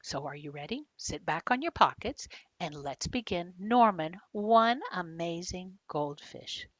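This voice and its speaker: Older woman with a low, dry-recorded voice. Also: a little slow, dry recording, dull audio. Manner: addresses young listeners directly with questions; expressive storytelling. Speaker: children's author reading own book aloud. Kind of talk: picture-book read-aloud for children.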